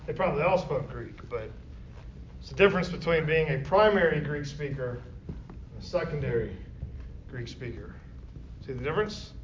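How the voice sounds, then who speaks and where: A man's voice: fairly high pitch, animated, moderate pace, pauses often. A man teaching a Bible study class, talking conversationally and often asking the group questions. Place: classroom or church meeting room. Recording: roomy, distant, dull lo-fi with faint noise.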